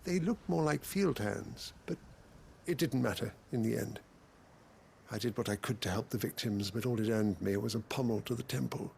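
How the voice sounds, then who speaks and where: A courteous servant of a noble house acted by a man for a video game. His mid-pitched voice has a noticeable fry, and he speaks formally and deferentially. There is background ambience behind him. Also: darkish tone, a little hiss or hum, lightly acted. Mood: deferential, earnest. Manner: formal, polite, measured. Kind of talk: video game cutscene acting.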